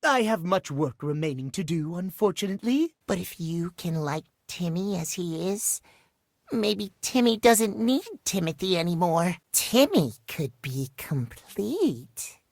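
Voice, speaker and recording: Cutesy game creature: high-pitched, theatrical, gushing, with big swoops in pitch. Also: male voice, big pitch swings, a little slow, creaky, a little mellow, no echo, studio quality, exaggerated voice. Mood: earnest, determined. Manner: refers to himself in third person, gushing, theatrical. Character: cutesy, excitable, dramatic household-object creature speaking of himself. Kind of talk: animated video game character acting.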